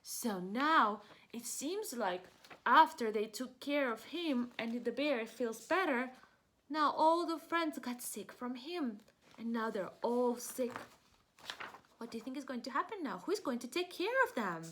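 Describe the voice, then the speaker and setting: A woman reading a children's storybook aloud as a preschool teacher, expressive, in simple child-directed speech with questions she answers herself. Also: fairly high pitch, measured pace, a little hiss or hum.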